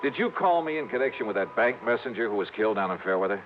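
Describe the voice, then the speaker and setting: An actor playing a tough, wry insurance investigator in a radio noir drama, brisk and hard-boiled, with an American accent. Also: male voice, lowish voice, expressive, lightly acted. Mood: wry, businesslike. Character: wry, tough freelance insurance investigator narrating his case. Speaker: lead detective and narrator. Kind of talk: 1950s radio noir acting. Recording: tinny, noisy old radio recording.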